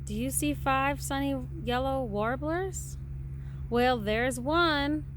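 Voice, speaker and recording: Woman, high voice, patient sing-song read-aloud for a young child, unhurried, faint background noise. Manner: sing-song, patient, pauses to count and point. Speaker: parent reading aloud to a child. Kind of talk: picture book read-aloud to a small child.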